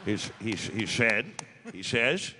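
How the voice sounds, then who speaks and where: A man's voice: fairly low pitch, slow pace, big-room sound, background noise. A man voicing a rambling talk-show host as a fussy robot butler, stammering and repeating phrases in a comic live reading. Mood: rambling, chummy. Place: large convention hall panel stage. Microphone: microphone on table stand.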